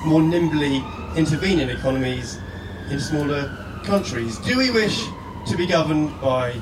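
A man's voice: on the high side, normal speed. A man from Scotland giving a prepared, persuasive address on stage in an earnest, rhetorical way. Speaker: guest speaker. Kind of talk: reading a prepared persuasive letter aloud on stage. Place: live stage event indoors. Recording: echoey room, distant mic, steady background noise.

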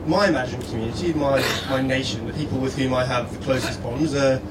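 A man's voice: mid-range voice, fairly quick. A man from Scotland giving a prepared, persuasive address on stage in an earnest, rhetorical way. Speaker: guest speaker. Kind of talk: reading a prepared persuasive letter aloud on stage. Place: live stage event indoors. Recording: echoey room, distant mic, steady background noise.

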